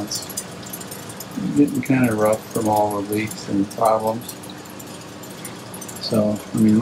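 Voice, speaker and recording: A man's voice: lowish voice, noisy background. A middle-aged man giving a casual, rambling walkthrough of his home fish room, hesitating with lots of fillers, filmed on a handheld phone indoors.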